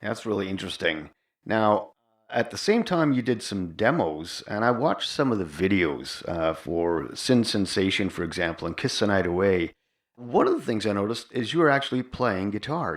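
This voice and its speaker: A man with a very deep voice hosts an interview podcast. He speaks in a conversational style as the interviewer. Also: big pitch swings, normal speed.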